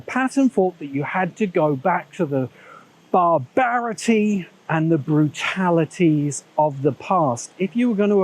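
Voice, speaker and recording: Musing man, slightly high voice. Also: darkish tone, rough recording. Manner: measured, musing, self-correcting asides. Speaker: video essay host. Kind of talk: thoughtful spoken commentary. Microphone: small handheld camera's built-in mic.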